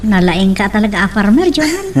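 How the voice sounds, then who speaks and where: A woman acting a lively young female friend who teases a farmer, expressively, in an Ilocano audio drama. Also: mid-range voice, brisk, lightly acted. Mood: teasing, admiring. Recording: distant mic with background noise.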